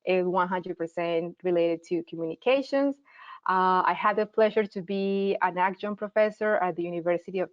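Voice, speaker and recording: A woman's voice: fairly low pitch, lo-fi sound. Woman with a Colombian accent teaching. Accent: Colombian, from Medellín. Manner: friendly, explanatory, with frequent fillers and restarts. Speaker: marketing teacher and host. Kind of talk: live online workshop presentation.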